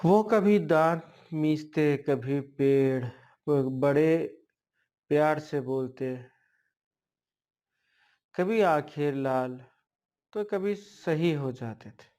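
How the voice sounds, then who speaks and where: Male horror narrator, slightly higher-pitched, very slow storytelling with halting phrasing. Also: mellow. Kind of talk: slow spoken ghost storytelling.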